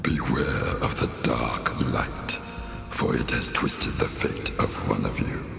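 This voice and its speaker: A man voicing an ancient stone oracle, giving solemn, slow prophetic warnings in a cavernous echo. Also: extremely low pitch, creaky, fairly bright tone, full character voice. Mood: ominous. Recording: cavernous echo and long reverb. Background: game music.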